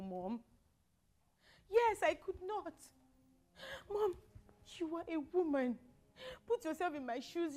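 A woman playing a heartbroken young wife who pleads tearfully with her mother, crying as she speaks. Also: extremely high pitch. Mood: pleading.

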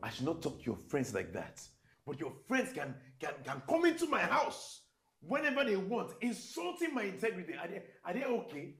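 A man's voice: sits high, wildly swooping pitch, normal speed, faint music behind, full character voice. A man playing a furious, controlling Nigerian husband in a screen drama, indignant and commanding, often repeating himself.

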